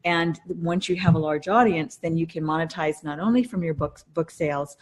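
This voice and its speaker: A woman's voice: low-pitched, normal speed. A woman podcast co-host explaining ideas and advice step by step in a conversational way. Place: recorded over an online video call.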